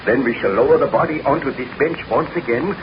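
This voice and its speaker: An actor playing an obsessed, exhausted baron scientist with grandiose, deliberate delivery in an old radio drama. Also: male voice, mid-range voice, natural voice. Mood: tense excitement. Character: obsessed, exhausted baron scientist recounting his dark story. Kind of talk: 1930s radio drama acting. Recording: noisy, muffled 1930s radio broadcast.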